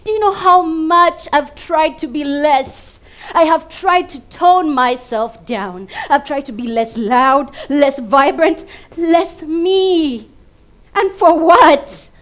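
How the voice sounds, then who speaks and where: Ugandan woman doing a dramatic funny stage monologue, really high pitched, takes her time, goes from quiet to loud. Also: slow. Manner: emphatic, dramatic delivery with big swings between hushed and loud. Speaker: competition contestant performing a monologue. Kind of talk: theatrical comedic stage monologue.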